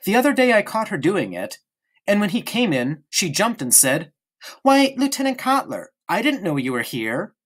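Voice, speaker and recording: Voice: mid-range voice, very animated, moderate pace, pitched above the performer's own voice. A narrator voicing a stubborn, chatty young boy in an audiobook, speaking eagerly and insistently. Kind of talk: audiobook character reading.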